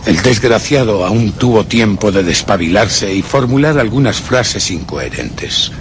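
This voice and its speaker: A vengeful murderer telling his tale in a radio horror play. His Castilian Spanish is deliberate and menacing, fairly low-pitched yet highly expressive. Clear noise sits behind the recording. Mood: cold, sinister. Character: cold, vengeful male murderer recounting his crime. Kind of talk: dramatic first-person radio horror narration.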